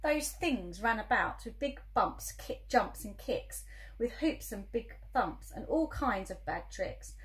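A woman reading a children's storybook aloud like a teacher, expressive and emphatic on the rhyming words. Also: a little high, takes it slowly.

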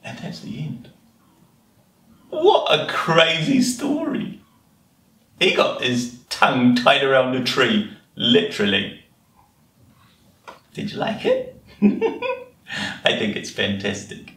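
A middle-aged man presenting a children's storytime with exaggerated, animated expression in a small room. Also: medium pitch, takes it slowly, pauses often. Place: small indoor room with bookshelf and toys. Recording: roomy, slightly distant home recording.

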